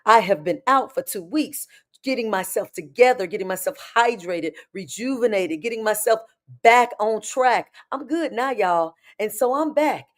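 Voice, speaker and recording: A woman with a hoarse, raspy voice, hosting a casual live-stream chat as a spiritual coach and talking familiarly to her viewers. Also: medium pitch, animated, normal speed. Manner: chatty, familiar, calls viewers "baby" and "y'all".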